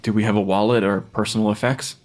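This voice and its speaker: An actor playing a nervous young forensic pathologist, hesitant, apologetic and easily flustered, in an audio drama. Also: male voice, lowish voice, steady tone, quick pace, darkish tone, natural voice. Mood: awkward, uneasy. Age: young adult.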